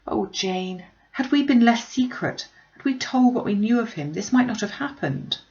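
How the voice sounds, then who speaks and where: A woman voicing an anxious, indignant young gentlewoman in a classic novel, urgent, probing and emphatic. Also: a little high, lively intonation, moderate pace, lo-fi sound, pitched above the performer's own voice. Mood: distressed reproach.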